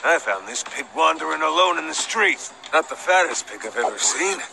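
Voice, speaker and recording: An actor voicing a gruff, greedy market butcher in a video game, with a gravelly voice and a condescending, haggling manner. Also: male voice, on the high side, animated, moderate pace, background noise. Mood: gruff, scornful. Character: gruff, greedy male pork butcher running a market stall. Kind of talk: animated video game acting.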